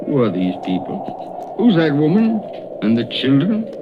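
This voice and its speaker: An anxious old miser in a vintage radio play. His imploring voice swings widely in pitch, heard through a dull, noisy old broadcast. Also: huge pitch swings, measured pace. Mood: uneasy, curious. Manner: agitated, imploring. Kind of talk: 1930s radio acting.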